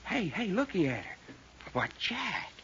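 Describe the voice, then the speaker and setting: An actor playing a folksy, eager Texan adventurer with a colloquial drawl in an old radio drama. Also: male voice, high-pitched, wildly swooping pitch, unhurried, fairly bright tone, heavily acted. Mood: excited. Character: folksy, eager, girl-loving Texan adventurer with hearty appetite. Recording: muffled, hissy old radio recording.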